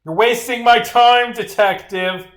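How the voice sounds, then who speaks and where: Man acting a haughty, shrill, indignant suspect. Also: measured pace, a bit bright. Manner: imperious, indignant, exclaiming. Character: haughty, impatient spirit-medium stage performer under accusation.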